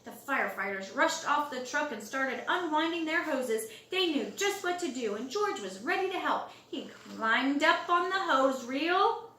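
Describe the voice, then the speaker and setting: A woman reads a picture book to young children in a high voice that swings widely in pitch. She stretches key words for effect. The recording is roomy, with the microphone some distance away. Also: a little hiss or hum. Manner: expressive, drawn-out words and loud acted exclamations for young listeners. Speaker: storybook reader. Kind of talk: animated children's storybook read-aloud.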